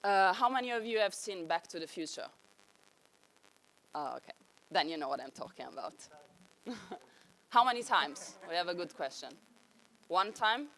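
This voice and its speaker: A woman's voice: lowish voice, lively intonation, normal speed, long pauses, fairly bright tone, low-quality recording. A young woman with an Italian accent giving an enthusiastic conference talk on stage. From Italy. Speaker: conference speaker.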